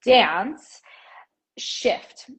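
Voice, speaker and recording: A woman's voice: a little high, animated, very slow, fairly bright tone, slightly rough, low-quality recording. A woman hosting an interview podcast, talking in a casual, affirming way with frequent fillers and restarts. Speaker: podcast host, dietitian. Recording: intro over music.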